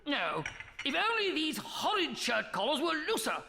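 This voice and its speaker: An actor playing a pompous, blustering Edwardian explorer captain with a plummy upper-class manner, in live radio comedy. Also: male voice, extremely high pitch, huge pitch swings, moderate pace, creaky, sharp tone, slight background noise, heavily acted. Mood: rueful.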